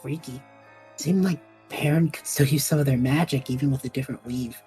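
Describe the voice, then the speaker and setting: A woman playing a cocky, cheeky young male adventurer who talks in a flippant, chatty, excitable way in a fantasy audio drama. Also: a bass voice, brisk, soft background music.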